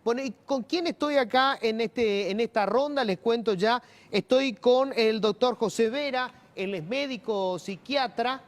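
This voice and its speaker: Talk show host moderating a studio panel. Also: male voice, very high voice, a little slow, hardly any pauses. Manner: conversational, interjects often.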